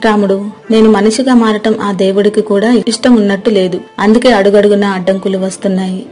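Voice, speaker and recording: A woman voicing a gentle, anxious young mermaid princess in a storybook tale, with a pleading, emotive delivery. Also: mid-range voice, level tone, fast talker, soft background music, lightly acted. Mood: resigned. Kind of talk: dramatic children's storybook acting.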